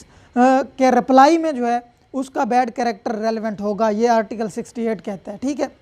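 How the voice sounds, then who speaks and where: A woman's voice: high voice, dark tone. A woman with a Pakistani accent giving an explanatory law lecture, mixing in English terms and often checking that listeners follow. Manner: didactic, repeatedly checks in with listeners.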